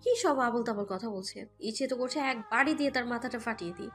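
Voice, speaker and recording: High-pitched, emotional young mother voiced by a woman. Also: fast, a bit bright, natural voice. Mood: exasperated narration. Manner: emotional, scolding, dramatic. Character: hurt, defiant young mother narrating her own stormy romance. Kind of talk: first-person Bengali audio story narration and dialogue. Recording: music bed behind the voice.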